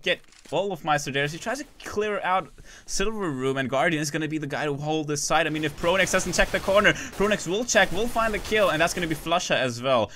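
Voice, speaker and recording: A man casting a competitive shooter match on his own, calling plays quickly, restarting phrases and joking with his viewers between rounds. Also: on the high side, sharp tone, slight background noise. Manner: rapid, chatty, self-interrupting, jokes with chat. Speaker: esports caster. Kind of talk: solo live game commentary.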